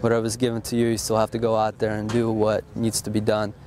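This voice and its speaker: Flat-voiced young army officer. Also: male voice, lowish voice, fast talker, background noise. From born in Russia, raised in Texas. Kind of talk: casual interview answers.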